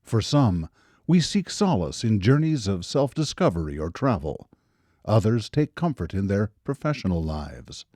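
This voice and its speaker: A man's voice: on the low side, very animated, a little slow, a little mellow, no echo. A man playing the ominous narrator of an eerie radio anthology, in dramatic scripted narration. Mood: ominous, measured. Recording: live radio studio broadcast with music. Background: music.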